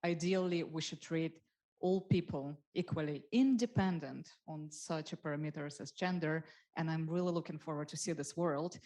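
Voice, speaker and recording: A woman's voice: sits low, normal speed, dark tone. A woman speaking as a panelist, keen and agreeing. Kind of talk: panel discussion.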